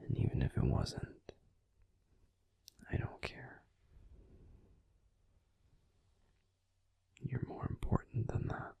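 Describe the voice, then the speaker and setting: Man as breathy whispering werewolf boyfriend, slow. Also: natural voice. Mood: tender, protective. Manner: gentle, soothing, unhurried reassurance with pauses. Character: grumpy, caring young male werewolf boyfriend. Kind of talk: whispered ASMR sleep-aid roleplay. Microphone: close, dry microphone.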